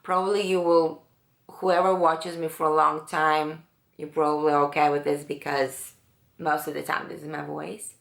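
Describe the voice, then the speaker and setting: Low raspy woman, slow and musing. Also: little pitch movement, roomy sound. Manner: unhurried, musing, with many pauses and fillers. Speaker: beauty vlogger. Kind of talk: casual makeup tutorial chat.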